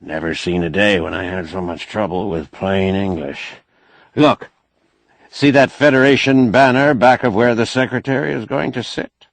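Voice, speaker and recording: A man's voice: on the low side, steady tone, normal speed, no room sound, close to an everyday voice. A man voicing a blunt, folksy old man who bargains shrewdly and sardonically in an audiobook novel. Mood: brisk, demanding. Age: older. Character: crusty, shrewd old man, bossy, wry negotiator.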